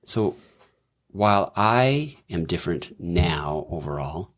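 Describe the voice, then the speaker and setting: Middle-aged male guest, very low voice, musing delivery, lots of ums and restarts. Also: lively intonation, slow, a bit bright. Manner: thoughtful, rambling, many fillers and restarts. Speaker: doctor and businessman, podcast guest. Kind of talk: casual conversational interview.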